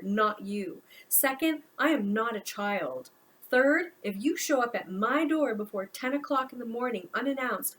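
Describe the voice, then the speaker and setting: A woman voicing a blunt, exasperated young man who answers his mother, in a storybook reading. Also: fairly high pitch.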